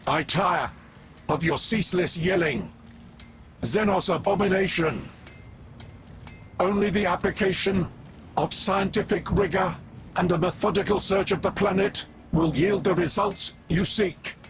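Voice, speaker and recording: A man's voice: sits high, unhurried, noisy background. A man playing a pedantic, coldly logical cyborg tech-priest in a video game, with a formal, scornful delivery. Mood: weary, disdainful. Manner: clipped, formal, disdainful. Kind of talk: video game character acting. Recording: clear game music behind.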